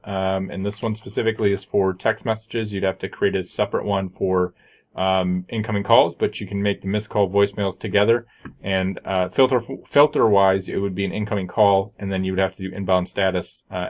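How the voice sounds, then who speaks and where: Male tutorial presenter, low-pitched and very flat in delivery, matter-of-fact, informal screen-share walkthrough style.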